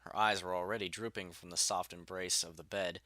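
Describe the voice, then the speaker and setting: A man reading scripted story narration as an audiobook narrator, in a measured, articulate way. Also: deep voice, steady tone, sharp tone, no room sound.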